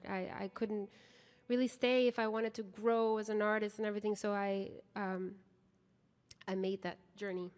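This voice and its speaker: A woman from Guatemala, a singer talking earnestly and gratefully to a concert audience between songs, with many pauses. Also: mid-range voice, darkish tone, clean tone. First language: Spanish. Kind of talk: between-song stage talk. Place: concert stage in a large hall. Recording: live hall reverb with guitar behind.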